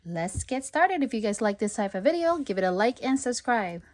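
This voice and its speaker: A woman's voice: on the high side, normal speed. A woman hosting a children's storytime, reading a picture book aloud in a sing-song, child-directed way. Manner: sing-song, animated talk addressed to young children. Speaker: storytime read-aloud host. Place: at home.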